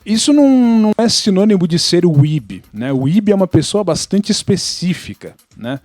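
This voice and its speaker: A man's voice: high-pitched, sing-song, normal speed, darkish tone, no echo. A Brazilian man explaining things casually on a podcast, often checking in with tag questions. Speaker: podcast panelist.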